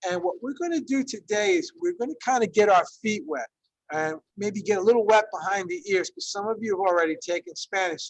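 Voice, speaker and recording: A man in his fifties, originally from Ecuador, leads an online class over a video call with a high, gravelly voice. He talks in a loose, conversational way, with plenty of fillers and frequent check-ins with his listeners. The sound is dry and close, with no room around it. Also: dry recording, low-quality recording. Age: 50s. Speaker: Spanish teacher leading a workshop. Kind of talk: online workshop presentation.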